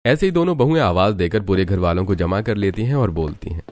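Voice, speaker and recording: A man narrating a scripted animated family story. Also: low voice, a little fast.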